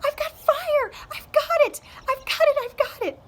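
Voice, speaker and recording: A woman voicing an earnest, excitable stranded boy in a storybook read-aloud, with emphatic, drawn-out delivery. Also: very high-pitched, heavy background noise, pitched above the performer's own voice. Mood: triumphant yelling.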